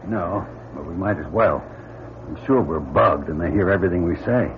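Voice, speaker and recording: A man playing a practical, brisk space crew captain, anxious but taking charge, in an old-time radio drama. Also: low-pitched, natural voice. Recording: muffled radio recording, clear background noise.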